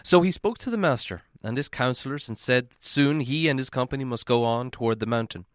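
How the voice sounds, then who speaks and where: A man reading a fantasy story aloud in expressive scripted narration. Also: mid-range voice, fairly quick, dry recording, studio quality. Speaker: audiobook reader.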